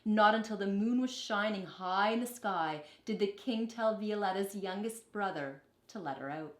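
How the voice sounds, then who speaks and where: A woman reading a children's picture book aloud in an expressive, deliberate storytelling manner. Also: mid-range voice. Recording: echoey, roomy sound.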